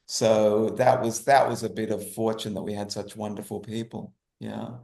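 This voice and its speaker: A man's voice: on the low side, little pitch movement, normal speed, gravelly, a little mellow. A man answering casually as an interview guest, repeating phrases for emphasis. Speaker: guest, writer. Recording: echoey room.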